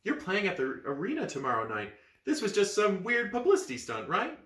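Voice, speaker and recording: A man's voice: high-pitched, very animated, measured pace, bright, forceful tone, echoey room, distant mic, pitched above the performer's own voice, full character voice. A man doing a gushing teenage girl.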